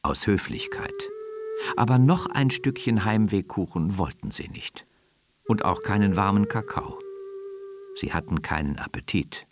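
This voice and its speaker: A man narrating a scripted children's story as an expressive storyteller. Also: on the low side, a little slow. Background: music.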